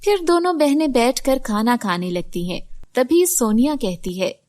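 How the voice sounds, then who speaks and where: A woman narrates a children's cartoon fairy tale. Her voice sits high and moves with very animated intonation as she reads the story. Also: measured pace.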